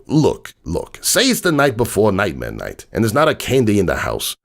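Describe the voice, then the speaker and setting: A man playing a gruff, world-weary, streetwise stallion who tells a bedtime story in slangy, grumbling and wistful tones, as a dramatic reading. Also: fairly low pitch, wildly swooping pitch, normal speed, a bit bright, exaggerated voice. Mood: exasperated.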